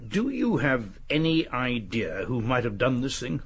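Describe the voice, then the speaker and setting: An actor playing a middle-aged British police colonel in a radio drama, courteous, methodical and a little hesitant. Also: male voice, mid-range voice, huge pitch swings, a little slow, breathy edge, exaggerated voice. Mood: probing.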